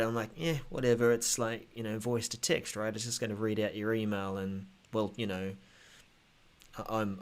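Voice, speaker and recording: Male show host, slightly low register, conversational and halting, explaining tech news off the cuff. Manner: halting, hesitant, thinking aloud. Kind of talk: casual tech update talk.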